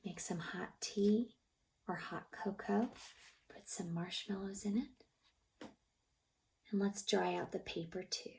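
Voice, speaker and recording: A woman reads a picture book to little children in a mid-pitched voice with a noticeable creak. She is expressive and gently encouraging, pausing to ask questions about the pictures. Her delivery often softens into a breathy near-whisper. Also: a little hiss or hum. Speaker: childcare teacher reading a picture book aloud. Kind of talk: read-aloud storytime for young children, narrating pictures and asking questions.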